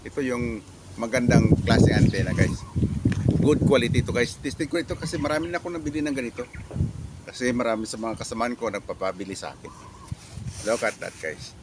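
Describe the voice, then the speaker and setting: A Filipino man giving a casual product demo as a reviewer, talking in a halting, repetitive way with catchphrases. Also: mid-range voice, animated, very noisy.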